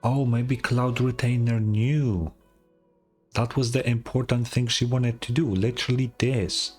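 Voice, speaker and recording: Very low, husky female streamer. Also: steady tone, measured pace, a little mellow, faint music behind. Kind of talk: casual reaction commentary while gaming.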